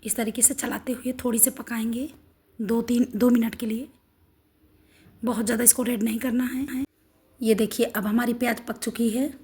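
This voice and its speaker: A middle-aged woman with a hoarse, sore throat, walking through a home recipe step by step in a homely, instructive way. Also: fairly high pitch, level tone, normal speed, mellow. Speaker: cooking channel host. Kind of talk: home cooking demonstration.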